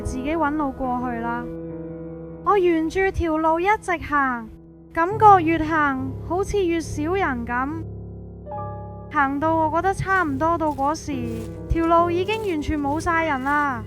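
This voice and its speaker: A woman with a Hong Kong Cantonese accent narrating a scripted first-person ghost story. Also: high-pitched. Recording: prominent music behind.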